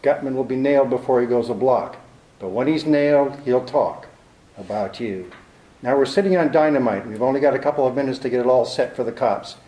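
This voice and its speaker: A man's voice: mid-range voice, fairly quick, warm tone, echoey room, lightly acted, poor audio. An actor playing a hard-boiled, cynical male private detective in a 1940s-style radio drama, with a clipped, sardonic delivery. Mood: hard, blunt.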